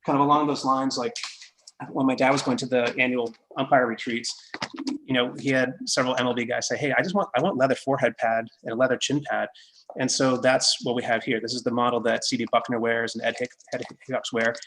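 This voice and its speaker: Gravelly-voiced guy on a video call, rambles a lot, lots of "you know", no accent. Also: mid-range voice, fairly even intonation, fast, a bit bright, a little hiss or hum, low-quality recording. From Massachusetts. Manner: rambling with many fillers and restarts. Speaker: head of product development. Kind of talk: casual video call chat.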